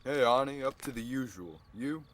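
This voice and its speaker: A man's voice: medium pitch, animated, normal speed, a bit bright, a little hiss or hum, close to an everyday voice. A young man playing an easygoing, skeptical but kindly married man in a radio play, often repeating words. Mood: friendly. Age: young adult. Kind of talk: radio theatre acting.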